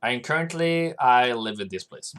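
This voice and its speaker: A man's voice: mid-range voice, animated, a little slow, fairly bright tone. A man from Norway chatting casually on a trading livestream, rambling and answering viewers' comments as they come in.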